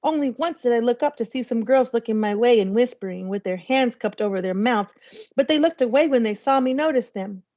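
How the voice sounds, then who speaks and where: A woman's voice: a little high, expressive, a little fast, dark tone, dull audio. A woman, a teacher, reading a storybook aloud to young listeners. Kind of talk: storybook read-aloud narration.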